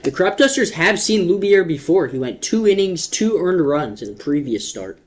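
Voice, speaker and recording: Man, fairly high voice, baseball color commentary, conversational analysis. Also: very animated, normal speed.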